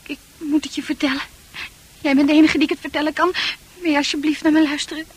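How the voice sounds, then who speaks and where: Casting: woman to play dreamy, troubled young female confidante for Dutch radio drama, high-pitched, poetic and earnest. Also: level tone, fast, slight background noise, natural voice. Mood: wistful, earnest.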